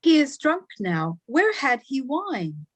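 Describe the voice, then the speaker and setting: A woman's voice: sits high, big pitch swings, takes it slowly, exaggerated voice. A woman playing a mocking, amused nobleman in a Shakespeare play reading.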